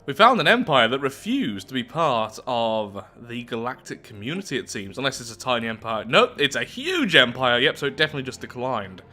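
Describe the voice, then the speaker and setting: A man gives casual, amused commentary on a game playthrough. His voice is bright and medium in pitch, with music playing softly behind him. He thinks aloud and reads game text in a chatty way. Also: big pitch swings, brisk, hardly any pauses. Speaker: gaming video host.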